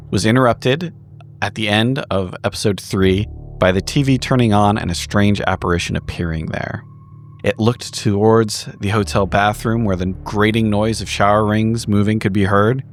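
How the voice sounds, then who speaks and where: Man, lowish pitch, measured storyteller, scripted horror game recap, faint background music. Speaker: player in a tabletop horror roleplaying show.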